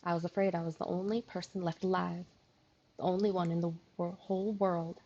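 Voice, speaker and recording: A woman voicing a friendly young village girl in a put-on American country accent, husky and a little gravelly. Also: lowish voice, flat delivery, brisk, mellow, dull audio. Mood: relieved.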